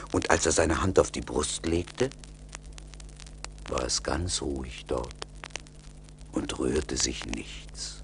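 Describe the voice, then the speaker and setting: A man narrating a scripted story as a storyteller, measured and deliberate. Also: extremely low pitch, vocal fry, slight background noise.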